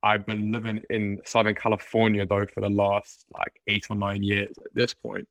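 A man's voice: lowish voice, completely flat delivery, normal speed, gravelly, a bit bright, no room sound. A man from London with a London accent, a podcast guest talking casually, with many restarts and fillers. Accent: London English. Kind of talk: casual interview chat.

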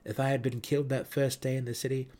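A man voicing an earnest exiled dark elf in a fantasy audiobook, frank and wistful, with formal, sincere phrasing. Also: mid-range voice, flat delivery, pitched above the performer's own voice, lightly acted. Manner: formal, sincere, measured wording.

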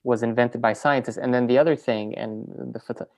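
A woman filmmaker and teacher, in a relaxed interview, who talks in loose, rambling sentences full of fillers and restarts. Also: extremely low pitch, fairly quick. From French Venezuelan, raised in New York. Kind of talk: casual interview conversation.

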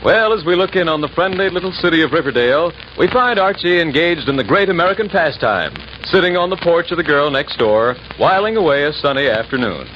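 A man's voice: on the high side, expressive, brisk, hardly any pauses, background noise, close to an everyday voice. A man playing a genial announcer, narrating a 1940s radio comedy. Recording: dull 1940s radio recording, music behind.

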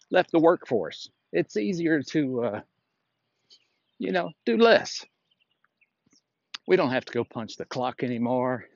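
A man in his sixties giving an unscripted, reflective talk as a fitness instructor while walking outdoors, in a halting, folksy way with frequent pauses. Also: mid-range voice, no room sound, dull audio. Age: 60s. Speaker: fitness instructor and podcast host.

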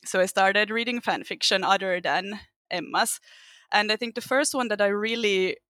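A woman's voice: mid-range voice, normal speed, a bit bright, dry recording, clean studio sound. Finnish woman guest, casual storyteller. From Finland. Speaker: podcast guest. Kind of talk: casual podcast chat.

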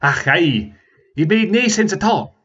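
A man's voice: high voice, unhurried, breathy edge, dull audio, voice pushed up, exaggerated voice. A man doing an old Scottish warrior spinning a tale. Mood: wry. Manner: lively storytelling with dialect words.

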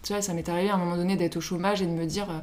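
A French woman with a slightly low voice talks as a guest in a relaxed conversational interview. Her delivery is informal and chatty, and she often voices her own thoughts as quotes. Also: racing. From Paris, France. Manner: informal, chatty, self-quoting. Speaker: interview guest, social media influencer.

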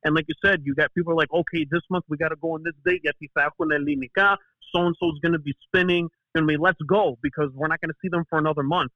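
A man's voice: a little high, steady tone, fast talker, few pauses, no room sound, highs cut off. A man speaking as a podcast guest, talking in a chatty way with lots of likes and you-knows. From United States. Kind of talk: casual podcast conversation.

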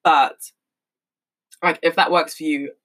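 Frank young woman with a bright voice. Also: low-pitched, slow pace. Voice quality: monotonous voice. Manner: frank, swears often, rambling with many "like"s. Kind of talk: casual monologue.

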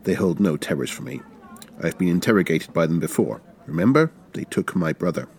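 Man voicing a menacing, low-voiced Russian revolutionary leader. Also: lively intonation, fairly quick, slight background noise. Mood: defiant. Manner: accusing, forceful, indignant. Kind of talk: dramatic short story reading.

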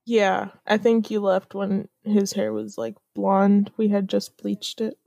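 A woman's voice: mid-range voice, level tone, slow, gravelly, dark tone, dry recording. A young woman chatting casually with a friend, thinking aloud with many fillers and laughing often. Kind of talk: casual chat.

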